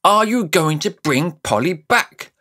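A man's voice: high voice, lively intonation, a little slow, pushed and bright, voice pushed up, heavily acted. A man voicing a blunt, indignant young schoolboy in a storybook reading. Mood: defiant, indignant. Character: angry, defiant young schoolboy. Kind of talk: audiobook character voice.

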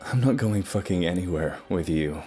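A man's voice: sits low, lively intonation, normal speed, warm tone, faint music behind. Man as a crude, sardonic, defiant wisecracker. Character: foul-mouthed, wisecracking, defiant young story protagonist. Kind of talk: dramatised first-person story dialogue.

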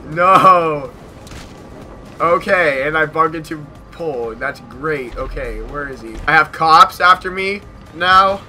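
A young American man giving casual gameplay commentary in an excitable manner, repeating short phrases. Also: on the high side, slow pace, soft background music. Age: young adult. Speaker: gaming YouTuber. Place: small bedroom at home.

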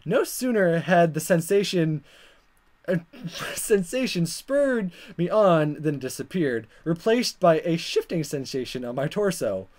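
A woman's voice: lowish voice, animated, a little slow, darkish tone. A woman hosting a let's play, reading dialogue aloud dramatically and commenting in a playful, exaggerated way. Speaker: gaming video host.

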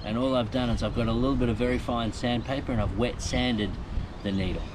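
A man's voice: medium pitch, fairly even intonation, a little fast. A middle-aged man in a small boat outdoors, chatting practically about repairs to an action camera. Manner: chatty, practical, self-mocking. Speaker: sailing vlogger. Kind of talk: casual how-to explanation. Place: small inflatable boat on a marina canal outdoors. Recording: loud wind and water noise outdoors. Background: wind and water noise.

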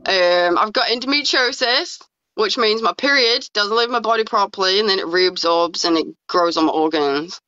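A woman's voice: medium pitch, moderate pace, pushed and bright, clean tone. A woman in her twenties who grew up in New Zealand, chatting casually and a bit sweary as a guest on a phone video call. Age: 20s. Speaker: livestream guest. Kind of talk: casual chat. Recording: dull lo-fi call audio.

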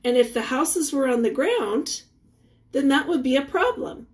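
A woman in her forties reading a picture book aloud as a teacher, pausing to put questions to young children in a deliberate, sing-song way. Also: sits high, animated.

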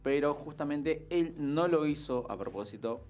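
A man's voice: on the high side, animated, moderate pace, darkish tone, soft background music. A man, not a native Spanish speaker, telling a football story as a sports columnist on a studio radio show. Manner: storytelling, many fillers and tag questions. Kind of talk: casual radio chat. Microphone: studio mic on boom arm, headphones.

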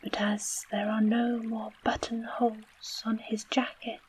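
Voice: mid-range voice, level tone, unhurried, slight background noise, voice pushed up, natural voice. A storyteller whispering the part of an enchanted field mouse trapped as a button. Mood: mournful. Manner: whispered, mournful.

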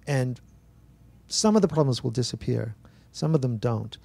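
An older man, an author at a bookstore talk, telling stories to an audience on a handheld mic, animated and acting out dialogue with frequent restarts. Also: medium pitch, normal speed, vocal fry, no echo. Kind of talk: relaxed storytelling to an audience. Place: bookstore event space.

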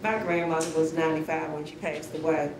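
A woman's voice: steady tone, a little fast. A middle-aged woman giving spontaneous, heartfelt feedback from an audience. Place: large bare concrete rehearsal hall. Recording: faint room noise and rumble.

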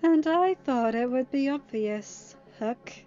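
Female reader as young male villain, smug, mocking, deliberate, higher pitch, slightly dull recording. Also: animated, warm tone, soft background music. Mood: smug, taunting.

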